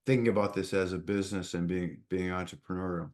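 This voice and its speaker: A man's voice: low-pitched, steady tone, quick pace, darkish tone. A middle-aged man with a Boston accent hosting a casual interview podcast, often repeating words as he starts his sentences. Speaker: podcast host.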